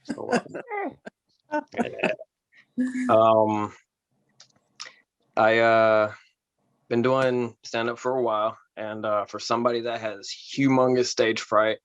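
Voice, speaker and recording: Smooth calm low-voiced stand-up man. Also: unhurried, pauses often, dry recording, muffled recording. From Florida, USA. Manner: calm, smooth, self-deprecating delivery. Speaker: stand-up comedy contestant. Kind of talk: stand-up set, then taking feedback.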